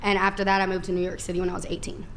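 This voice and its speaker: A woman's voice: medium pitch, monotone, very fast, fairly bright tone, a little hiss or hum. A woman in her twenties from the United States, a guest answering casual questions. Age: 20s. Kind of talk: casual chat.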